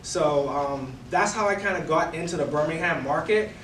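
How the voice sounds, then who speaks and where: A young man with a Bahamian accent giving a relaxed, conversational talk to a live audience, full of "you know" and "right?". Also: a little high, moderate pace, slight background noise. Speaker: guest speaker. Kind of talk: casual presentation to a live audience. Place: event room before an audience. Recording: roomy, distant room mic.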